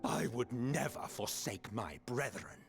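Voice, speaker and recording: An actor playing a proud, disdainful ancient official in a video game, speaking in a formal, emphatic, theatrical way. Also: male voice, medium pitch, lively intonation, a little slow. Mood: grave, resolute. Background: background music.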